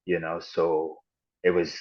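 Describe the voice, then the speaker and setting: Podcast guest, man, low voice, halting reflective storyteller, muffled lo-fi sound. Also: completely flat delivery. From Ghana and the United States. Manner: halting and reflective, with frequent restarts and "you know". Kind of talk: personal storytelling in an interview. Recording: dull lo-fi audio.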